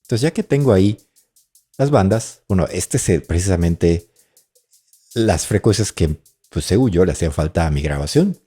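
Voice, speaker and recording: A man giving an informal, explanatory software tutorial on audio mixing, thinking aloud as he demonstrates. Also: animated, moderate pace, breathy edge, faint music behind. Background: drum and bass tracks playing during demonstration.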